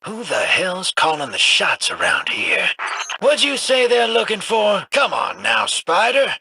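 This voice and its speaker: A man's voice: high voice, wildly swooping pitch, measured pace, gravelly, sharp tone, slight background noise, full character voice. A man voicing a shady, cocky smuggler in a video game, drawling and teasing as he talks.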